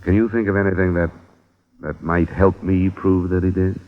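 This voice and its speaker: An actor playing a hard-boiled insurance investigator who narrates and questions in a terse, matter-of-fact way, in a 1950s radio drama. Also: male voice, low voice, level tone, normal speed, lightly acted. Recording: dull old radio broadcast.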